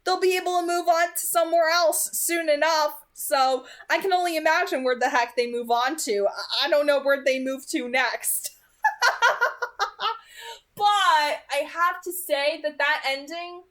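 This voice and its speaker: A woman's voice: sits high, a little slow, fairly bright tone. A woman giving casual fan commentary on a book, in a gushing, emphatic and informal manner. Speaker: amateur book reader and reviewer. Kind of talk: read-aloud narration and casual fan commentary.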